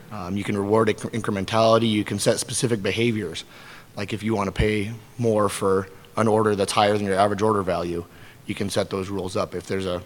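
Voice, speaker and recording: A hoarse man speaking on a conference panel as an affiliate coordinator, in an informal manner and often clearing his throat. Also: lowish voice. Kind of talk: conference presentation. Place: large conference hall. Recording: reverberant conference hall.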